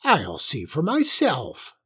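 A woman voicing a bad-tempered, self-pitying old witch in a storybook, shrill and indignant. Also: huge pitch swings, slow pace, a bit bright, slightly rough, exaggerated voice. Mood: snorting, suspicious. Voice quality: shrill, shrieking. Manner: snorting, indignant outbursts.

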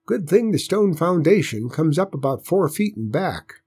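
A man voicing a stubborn, fond old country grandfather with a folksy rural drawl and a husky voice. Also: a little high, sing-song, moderate pace, darkish tone, pitched above the performer's own voice, heavily acted.

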